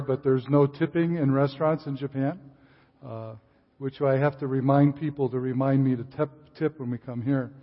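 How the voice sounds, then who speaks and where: An older man, a visiting missionary speaker giving a sermon in a church, relaxed, with frequent fillers and restarts. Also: on the high side. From Chicago area, USA. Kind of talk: sermon with casual asides. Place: church sanctuary. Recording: distant hall reverb, dull sound.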